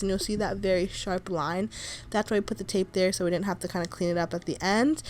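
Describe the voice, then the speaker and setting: A woman's voice: mid-range voice, slight background noise. Stuffy-nosed young woman narrating makeup. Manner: chatty, reassuring, with frequent "like" and "kinda". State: stuffy nose from a cold. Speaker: beauty vlogger. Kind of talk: casual makeup tutorial voice-over.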